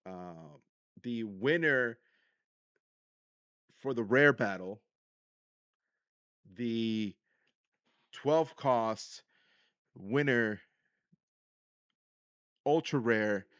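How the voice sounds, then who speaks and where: A man's voice: mid-range voice, extremely slow, long pauses, fairly bright tone, smooth, dry recording, studio quality. A man hosting a podcast, casually recapping his hobby in a solo talk. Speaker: podcast host. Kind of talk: casual solo recap of card game decks and matches.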